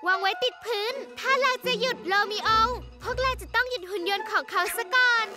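Confident young girl cartoon superhero, extremely high and eager, music strong underneath. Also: brisk. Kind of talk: animated children's cartoon dub. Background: loud music.

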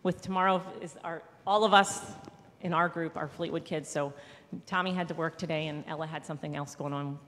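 Casual woman reporting to her congregation, slightly low voice, rambling and self-correcting, long church reverb trailing behind her words. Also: fairly even intonation, fairly quick, fairly bright tone, a little hiss or hum. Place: large church sanctuary.

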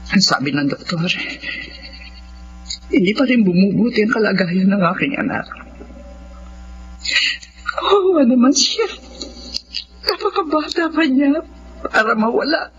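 A woman's voice: medium pitch, natural voice. A woman playing an anguished, possessive middle-aged mother in a Filipino radio drama, with a melodramatic, emotional delivery. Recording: dull, lo-fi audio with faint noise.

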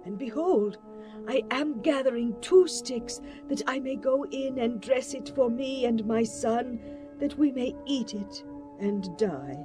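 A woman's voice: a little high, measured pace, over music, lightly acted. An actress playing a poor, despairing widowed mother in a dramatized Bible, with plaintive, archaic phrasing. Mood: weary despair.

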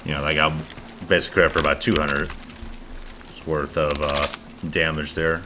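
Super deep guy mumbling and cussing thru a shooter game, loud rain noise behind him. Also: flat delivery, normal speed. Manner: muttering, profane, thinking aloud. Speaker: gameplay streamer. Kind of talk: casual gameplay commentary.

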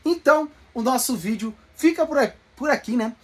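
Brazilian gaming youtuber, husky voice, casual and slangy, joking around while testing stuff for viewers. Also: high voice, lively intonation, moderate pace. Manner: informal, slangy, playful, addressing the viewers. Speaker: host of a gaming video channel. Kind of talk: casual hands-on vlog narration of console testing.

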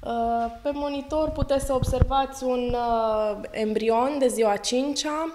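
A young woman with a Romanian accent, an embryologist, explaining her lab work in a friendly, matter-of-fact way with frequent pauses. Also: a little high, steady tone, darkish tone. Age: young adult. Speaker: clinic embryologist. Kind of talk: interview answers. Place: fertility lab, indoors. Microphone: handheld reporter mic.

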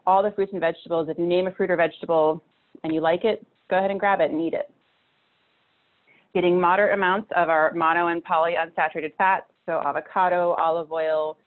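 A woman in her thirties giving a casual nutrition talk over slides, chatty, with many fillers and restarts, from a small room at home. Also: fairly low pitch. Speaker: nutrition presenter. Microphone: video call webcam. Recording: tinny phone-like video call audio.

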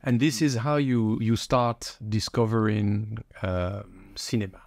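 A man's voice: lowish voice, measured pace, vocal fry, darkish tone, dry recording, clean studio sound. A middle-aged man hosting a podcast, putting questions to his guest in a conversational way. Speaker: podcast host. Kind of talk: conversational interviewing. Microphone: studio microphone.

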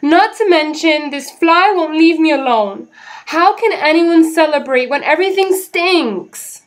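Woman voicing a high, whiny grumpy monkey. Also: lively intonation, a little slow. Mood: grumpy, exasperated. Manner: snappy, complaining, emphatic. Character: grumpy whining young male monkey.

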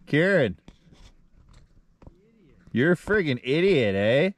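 A man's voice: a little high, big pitch swings, takes it slowly. A man hosting a vlog outdoors on a frozen lake, chatting casually, teasing his friend and laughing often. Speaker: vlog host.

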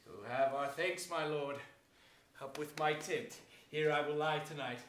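A man's voice: fairly high pitch, steady tone, a little slow, fairly bright tone, slight background noise. A man playing a scornful, ruthless villain king on the eve of battle, in theatrical Shakespearean declamation. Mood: commanding. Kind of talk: staged reading of Shakespearean verse. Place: theatre stage before an audience. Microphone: far camera. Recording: echoey stage, distant microphone.

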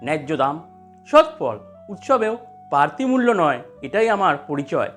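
A man voicing an honest, calm, principled fruit seller in an animated story, speaking plainly and earnestly. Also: a little high, a little slow, soft background music.

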